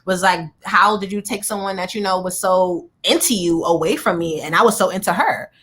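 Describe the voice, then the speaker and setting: A woman's voice: on the low side, brisk, fairly bright tone, breathy edge. A woman in her thirties from New York City, a radio interview guest telling her life story in an earnest, candid way with playful asides.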